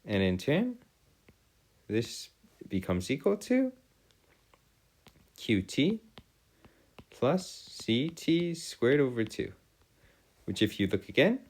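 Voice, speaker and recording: A man tutoring a math and physics lesson in formal, old-fashioned wording, often halting and correcting himself. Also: on the low side, darkish tone.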